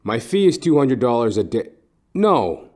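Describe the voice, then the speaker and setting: Private eye for audio detective comedy, played by a man: dark mellow tone, needling, clipped, lively intonation. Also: mid-range voice, a little slow. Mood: cool, insolent. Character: cool, insolent male private detective for hire.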